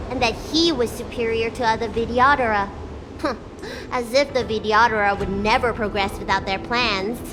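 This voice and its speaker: High-pitched, spirited young girl. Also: noisy background. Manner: youthful, earnest, direct. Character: spirited, forthright young girl, small and protective. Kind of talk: video game voice acting.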